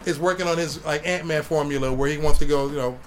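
A man's voice: fairly high pitch, steady tone, fast, soft background music. A man chatting casually about comics and movies, rambling with lots of slang and fillers. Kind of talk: casual comic book chat.

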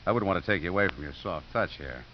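Brash American football coach, slangy and wry, very animated, in dull old radio sound. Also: male voice, deep voice, fast talker. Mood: sly, persuasive. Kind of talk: 1950s radio drama acting. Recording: muffled, hissy 1950s radio recording.

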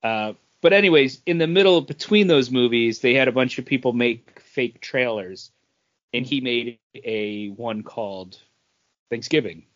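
A man's voice: mid-range voice, unhurried, a bit bright, lo-fi sound. A man co-hosting a podcast, talking in a casual conversational way. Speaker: podcast co-host. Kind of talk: casual chat.

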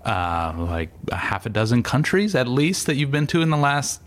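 A man's voice: mid-range voice, very animated, moderate pace. A man hosting an interview, asking thoughtful, rambling questions with many hesitations. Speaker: interview host. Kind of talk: conversational interview. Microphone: remote call.

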